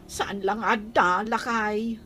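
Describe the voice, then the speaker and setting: A woman playing a gossipy, dramatic female figure in an Ilocano comedy radio drama, exclaiming in drawn-out phrases with an Ilocano accent. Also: mid-range voice, steady tone, pushed and bright. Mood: distressed. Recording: clear background noise.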